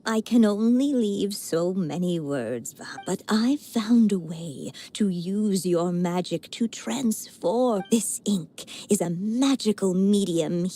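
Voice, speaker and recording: A woman playing a gentle, wistful elderly writer in a video game, with a soft, breathy, weary voice and a reflective, earnest manner. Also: lowish voice. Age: older.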